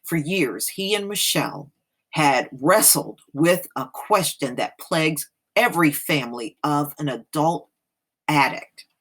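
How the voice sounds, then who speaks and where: A woman's voice: low-pitched, lively intonation, measured pace, fairly bright tone. An American woman hosting, reading an article aloud and adding plain-spoken casual commentary.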